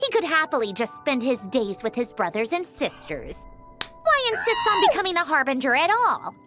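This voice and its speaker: A scornful young woman from a video game, speaks with theatrical disdain and crisp articulation. Her voice sits fairly high and swings widely in pitch, over faint music. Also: huge pitch swings, normal speed, bright, forceful tone, exaggerated voice. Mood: exasperated. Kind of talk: scripted video game voice acting.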